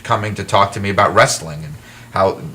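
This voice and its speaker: A man's voice: fairly low pitch, a little fast, a little hiss or hum. A man, an author guest, talking animatedly in a casual interview, with frequent restarts. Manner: animated, self-deprecating storyteller with frequent restarts. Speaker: author and wrestling historian guest. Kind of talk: casual talk-show interview.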